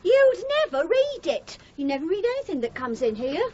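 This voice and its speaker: A woman's voice: very high voice, very animated, moderate pace, mellow, no echo. A woman acting a fed-up, scolding office secretary in a radio drama, exasperated and emphatic. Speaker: actor in a radio play. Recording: dull, faintly noisy radio recording.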